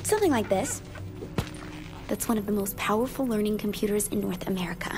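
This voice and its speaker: Actress as nerdy young woman hacker, deadpan, paranoid, video game cutscene, music behind. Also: medium pitch, fairly quick, natural voice. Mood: urgent, dry. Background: background music.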